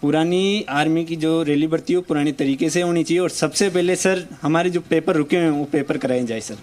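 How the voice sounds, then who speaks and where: A man's voice: fairly high pitch, fairly even intonation, brisk, darkish tone. A man in his twenties, an army aspirant, speaking passionately and pleading in a street interview. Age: 20s. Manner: impassioned, pleading.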